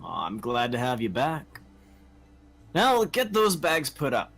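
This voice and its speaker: A man's voice: medium pitch, huge pitch swings, takes it slowly, vocal fry, a bit bright, heavily acted. A man playing a hearty, bossy, preppy fiancé in a dramatic script reading. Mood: hearty, breezy. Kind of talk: dramatic script table read.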